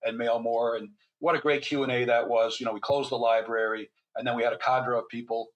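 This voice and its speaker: A man's voice: mid-range voice, little pitch movement, a little fast, muffled recording. An older man, a physician and author, talking as a podcast guest in a chatty, storytelling way with wry asides. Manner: chatty storyteller, wry humor, frequent restarts. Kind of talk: casual podcast interview.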